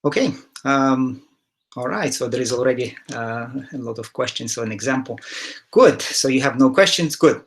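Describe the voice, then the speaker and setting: A middle-aged man from Ukraine hosting an online session over a headset mic on a video call, speaking haltingly with many filler sounds and the odd throat clearing. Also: mid-range voice. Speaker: interview host. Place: home room, indoors.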